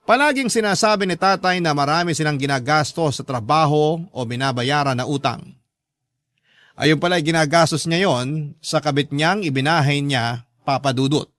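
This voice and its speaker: Male actor as sorrowful Filipino letter writer: earnest, measured radio drama narration, slightly high voice, dry close recording. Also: fairly bright tone, no echo, natural voice. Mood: hurt, reflective. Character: sorrowful grown child recounting a father's betrayal.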